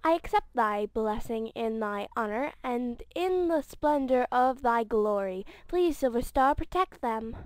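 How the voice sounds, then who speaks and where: Woman as a high, reverent anime heroine praying. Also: lively intonation, measured pace, few pauses, no room sound. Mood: reverent pleading. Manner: formal, declaiming.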